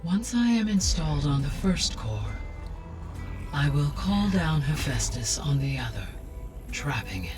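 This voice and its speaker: A highly intelligent male AI speaks with measured, formal precision in a video game cutscene. The voice sits a little high for a man and stays calm and analytical, with clear music playing underneath. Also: distant mic. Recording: music behind the voice.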